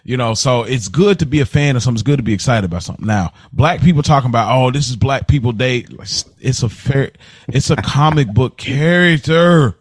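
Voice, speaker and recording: A man's voice: mid-range voice, expressive, brisk, no echo, clean studio sound. An American man co-hosting a podcast, talking casually and emphatically, often repeating himself to drive a point home. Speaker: podcast co-host.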